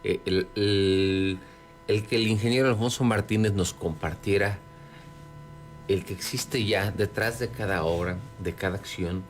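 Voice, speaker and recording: A man with a Mexican accent giving conversational interview answers as a city official, pausing and searching for words. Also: on the low side, fairly even intonation, faint music behind. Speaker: city government secretary being interviewed.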